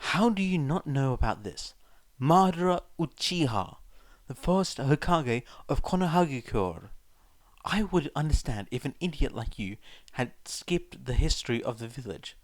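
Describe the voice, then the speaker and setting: A man's voice: medium pitch, animated, unhurried, no echo, voice pushed up. A man voicing an incredulous, knowledgeable teenage boy in a story, lecturing with exasperation. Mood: exasperated.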